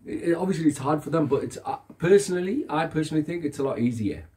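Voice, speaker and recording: A man's voice: on the high side, lively intonation, fast, dark tone. A man whose first language is Urdu, giving earnest advice at a home gathering, often repeating himself and asking if he is understood. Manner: earnest, repeats phrases, asks "you understand me?". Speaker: religious elder giving advice. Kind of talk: casual chat in a gathering. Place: carpeted room in a house, seated on the floor.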